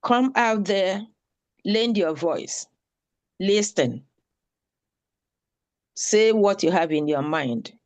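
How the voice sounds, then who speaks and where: A woman's voice: medium pitch, lively intonation, very slow, pauses often, darkish tone. A woman makes an earnest, pleading appeal at an online town hall. She repeats her points for emphasis.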